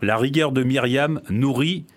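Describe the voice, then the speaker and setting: A man's voice: mid-range voice, a little slow. Wry teasing male radio co-host. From Paris region, France. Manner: teasing, wry, laughs at his own lines. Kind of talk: reading a written portrait, then banter.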